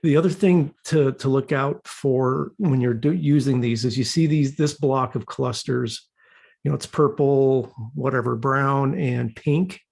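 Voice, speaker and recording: A man with a husky, creaky voice explains something informally to a group. He is hesitant, restarting and repeating words as he looks for the right phrasing. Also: medium pitch, a little slow, a little mellow. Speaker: class participant presenting an example.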